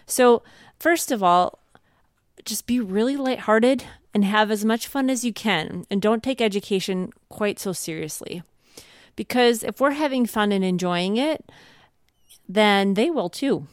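Woman, medium pitch, smooth and clean tone. Reassuring, encouraging podcast advice, conversational delivery. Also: lively intonation, measured pace, no room sound, clean studio sound. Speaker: podcast co-host.

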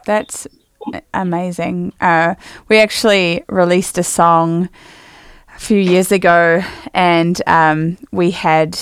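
Chatty New woman, crisp voice. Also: lowish voice, steady tone, dry recording, studio quality. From New Zealand. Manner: chatty, quick to laugh. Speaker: podcast host. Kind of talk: casual interview chat.

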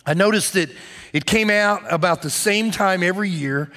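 A pastor delivers his sermon in a slightly higher-than-average man's voice. He speaks conversationally and drives his points home by repeating key phrases. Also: normal speed, pushed and bright. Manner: conversational preaching with emphatic repetition.

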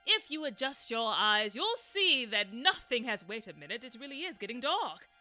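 A woman's voice: a little high, sing-song, normal speed, pushed and bright, faint music behind, full character voice. A woman playing a smug, eccentric, clever detective with a wordy, theatrical manner in a comedy audio drama. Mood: showy, startled.

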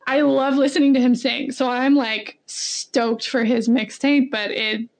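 High-voiced young woman, slow and gushing. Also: flat delivery. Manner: gushing, effusive fan talk. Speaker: podcast guest. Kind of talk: casual podcast chat.

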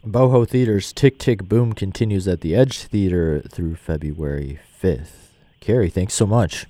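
A man's voice: low-pitched, measured pace, warm tone, no echo. A man with an American accent hosting an arts radio show, moving between scripted introductions and conversational interview questions.

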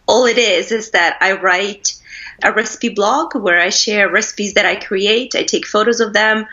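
A woman's voice: medium pitch, pushed and bright, muffled recording. A woman from Russia, a food blogger guest in an interview, talking in a friendly, easy way and laughing often. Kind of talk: casual interview chat.

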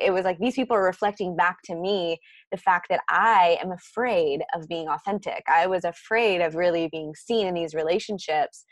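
A woman's voice: lowish voice, no room sound. A woman co-hosting a casual podcast, speaking hesitantly and reflectively with many fillers.

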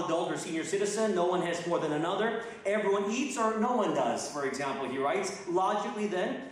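Middle-aged male guest preacher, echoey hall. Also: sits high. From Philippines, raised in Texas. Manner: conversational, with many fillers and restarts. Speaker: guest pastor. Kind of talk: sermon. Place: church sanctuary. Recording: distant mic in an echoey hall.

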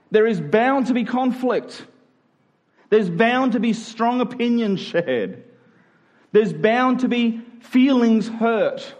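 A man preaching a sermon to a congregation in an emphatic style, using rhetorical questions and repetition. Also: very high voice.

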